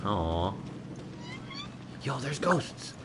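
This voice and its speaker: A man's voice: fairly low pitch, very animated, extremely slow, background noise. A man giving casual, slangy, sweary live commentary while streaming a video game. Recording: game music under the voice. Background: video game music.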